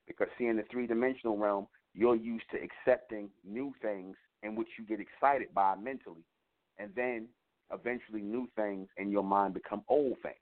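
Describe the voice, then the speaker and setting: A man's voice: on the low side, steady tone, moderate pace. A man hosting an internet radio show, delivering a repetitive, emphatic spiritual teaching monologue. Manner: preaching, repetitive emphatic phrasing. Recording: tinny phone line.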